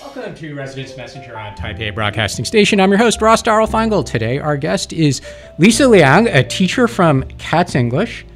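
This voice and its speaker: Halting male radio host. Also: fairly high pitch, soft background music. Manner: relaxed, halting, repeats words. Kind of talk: radio interview. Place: radio studio.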